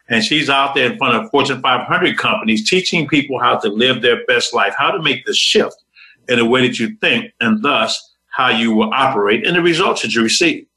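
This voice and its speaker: A man hosts a motivational talk show with a bright, ringing voice. His delivery is upbeat and preacher-like, building hope line by line. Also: mid-range voice, low-quality recording.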